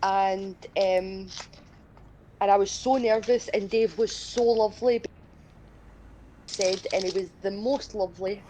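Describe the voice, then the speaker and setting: A woman's voice: mid-range voice, fairly even intonation, slow, long pauses, mellow, slight background noise, rough recording. A woman co-hosting a livestream in casual, conversational chat. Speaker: livestream co-host. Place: at home in a living room. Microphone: voice call on a phone.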